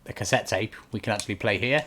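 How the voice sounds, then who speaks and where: A man's voice: lowish voice, fast, fairly bright tone. Man guiding casually, faint clicks behind. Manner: explanatory, step-by-step guiding. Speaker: video game walkthrough commentator. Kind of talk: casual gameplay commentary. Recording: faint keyboard and click noise.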